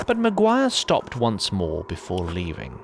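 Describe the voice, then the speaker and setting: A man's voice: on the low side, huge pitch swings, measured pace, creaky, background noise. A man narrating a scripted fantasy story in a dramatic, wry and literary manner. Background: music.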